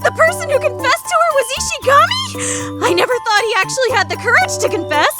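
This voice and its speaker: A woman's voice: very high voice, lively intonation, a little fast, over loud music. A woman voicing a theatrical, easily flustered, scheming teenage girl in an anime fandub radio play. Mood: shocked. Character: dramatic, scheming, guilt-ridden teenage student girl.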